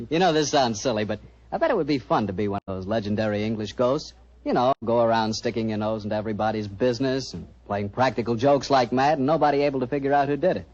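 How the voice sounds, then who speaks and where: A man's voice: medium pitch, lively intonation, fast talker, a little mellow. A man with an American accent speaking as a chummy, folksy radio announcer in 1940s advertising style. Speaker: radio announcer and sponsor pitchman. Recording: muffled old 1940s radio recording.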